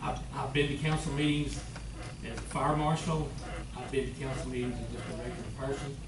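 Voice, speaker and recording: An older man on a city council, speaking loudly and earnestly in defense of himself at a meeting. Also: mid-range voice, little pitch movement, fairly quick, a loud crowd. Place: council chamber. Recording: echoey room, far mic, noisy.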